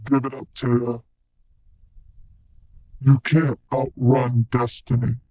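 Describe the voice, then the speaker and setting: Man voicing a husky, menacing cyborg. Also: level tone, takes it slowly, warm tone, dry recording. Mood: grim, threatening. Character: grim menacing male cyborg battle character. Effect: robotic cyborg pitch and chorus effects. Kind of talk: scripted game character lines.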